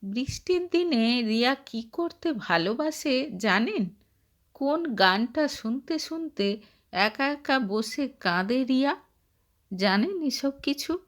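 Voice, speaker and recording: A woman voicing a jeering, combative young man who fires accusing questions in a dramatised story. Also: sits high. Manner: taunting, accusing, rhetorical questions.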